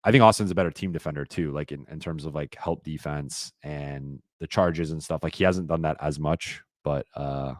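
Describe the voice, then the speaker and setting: A man hosting a live-stream Q&A, talking casually and rambling with lots of fillers and restarts, his voice a little raspy. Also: a bass voice, normal speed, hardly any pauses, no echo, clean studio sound. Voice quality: slightly raspy voice.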